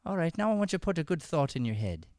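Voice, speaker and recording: A man's voice: a little high, very animated, very fast, a little mellow, dry recording, studio quality. A man voicing an encouraging Irish teacher, giving instructions and repeating himself in improvised radio comedy. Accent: Irish, put on. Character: coaxing, encouraging Irish teacher of shy youngsters. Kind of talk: improvised old-time radio comedy play.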